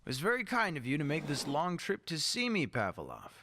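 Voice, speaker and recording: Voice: medium pitch, wildly swooping pitch, brisk, background noise. An actor in a radio drama playing a ruthless, power-hungry war leader, volatile, imperious and theatrical. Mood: coldly imperious.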